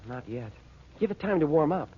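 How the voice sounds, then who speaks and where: Pushy teen boy in old radio show, kinda raspy, all over the place pitchwise, muffled. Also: expressive, brisk. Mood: eager, hushed. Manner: scolding, slangy, exasperated. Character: impatient, bossy American teenage boy. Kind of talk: 1930s-40s radio drama acting.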